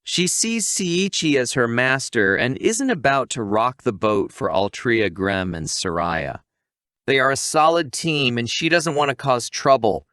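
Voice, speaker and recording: A man's voice: mid-range voice, lively intonation, a little slow, fairly bright tone, no room sound, studio quality. A man reading a scripted anime story recap as narrator.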